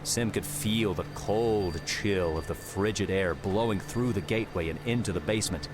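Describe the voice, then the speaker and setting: A man's voice: sits low, moderate pace, background noise. A man reading scripted adventure story narration as an audiobook narrator. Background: background music.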